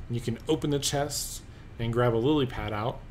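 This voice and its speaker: A man's voice: medium pitch, fairly quick, a little hiss or hum. Rambling man narrating his gameplay. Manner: relaxed, rambling, thinks aloud with many ums. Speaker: let's play host. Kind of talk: casual game commentary. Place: at a computer, voice-over of gameplay.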